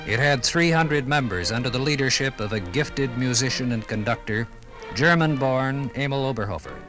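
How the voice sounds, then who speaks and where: A man with an American accent narrates a 1950s radio documentary. His mid-range voice is formal and authoritative, with music playing clearly behind it. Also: medium pitch, animated, normal speed, rough recording.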